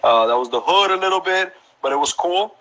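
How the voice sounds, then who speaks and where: A man's voice: high voice, fairly quick, poor audio. A man in his thirties from Colombia with a Colombian Spanish accent, chatting casually as a guest on a live video interview, easygoing and often repeating words as he thinks. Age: 30s. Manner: relaxed, calls the host man and bro, repeats words while thinking. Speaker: photographer and business owner. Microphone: phone or laptop on a live video call.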